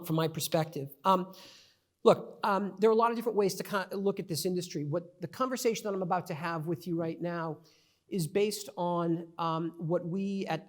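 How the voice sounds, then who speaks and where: A man's voice: on the high side, a little mellow. A middle-aged man with a New York accent, giving a conversational conference presentation with many fillers and restarts. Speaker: conference speaker.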